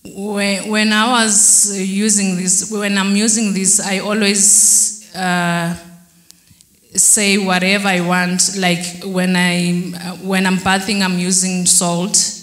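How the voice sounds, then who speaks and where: A young woman tells her story slowly into a handheld microphone, hesitating and restarting as she goes. Her delivery stays flat and even. The sound is roomy, with the echo of a large hall. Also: mid-range voice. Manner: halting, hesitant, many fillers and restarts. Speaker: church member giving testimony. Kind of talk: testimony interview. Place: church hall backdrop, indoors. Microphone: handheld mic held by interviewer.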